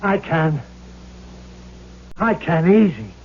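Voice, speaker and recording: A vengeful gangster's ghost, high-pitched for a man and husky, talking slow, mocking slang. It comes through the muffled sound of an old radio play. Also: big pitch swings, heavily acted. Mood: gloating menace. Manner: menacing, slangy tough-guy talk. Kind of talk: old-time radio drama acting. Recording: dull lo-fi old radio recording.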